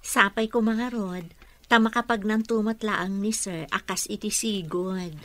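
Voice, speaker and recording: A woman's voice: mid-range voice, fairly even intonation, a little slow, fairly bright tone, no echo, close to an everyday voice. An actress with an Ilocano accent playing a caring, respectful nurse and mother in a radio drama. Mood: polite.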